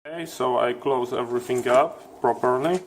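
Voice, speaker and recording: A man giving a hands-on electronics tutorial, explaining step by step in a halting way with non-native phrasing. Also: mid-range voice, slight background noise, rough recording. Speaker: electronics repair video host.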